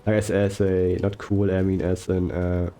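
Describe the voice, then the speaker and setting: A young man talking slowly and softly over gameplay, rambling with fillers and self-corrections. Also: low-pitched, little pitch movement, slight background noise. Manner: slow, soft-spoken, unhurried. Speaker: gaming YouTuber. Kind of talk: relaxed gameplay commentary.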